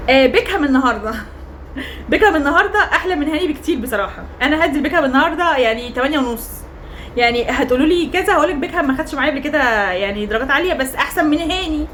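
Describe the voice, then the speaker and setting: A young woman with an Egyptian Arabic accent, hoarse from cheering, rating football players in a chatty, teasing, emphatic monologue. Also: high-pitched, a little hiss or hum, rough recording. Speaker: football fan vlogger.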